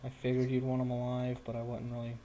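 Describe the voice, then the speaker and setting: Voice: mid-range voice, completely flat delivery, fast talker, dark tone, a little hiss or hum, rough recording. A game master casually running and narrating a tabletop roleplaying game.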